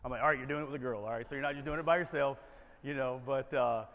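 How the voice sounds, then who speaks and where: A man's voice: medium pitch, steady tone, rapid-fire, creaky, fairly bright tone. An American man giving a motivational keynote as a storyteller, conversational and often checking in with a tagged-on "right?". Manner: conversational, punctuated with frequent "right?" tags. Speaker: keynote speaker, military veteran. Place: conference ballroom, on stage before seated audience. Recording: echoey hall with long reverb.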